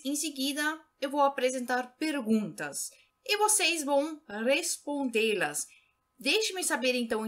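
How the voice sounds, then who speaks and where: A woman's voice: sits high, sharp tone. A woman language teacher introducing a reading exercise and speaking directly to her learners. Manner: instructive, addressing learners directly. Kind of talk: lesson introduction.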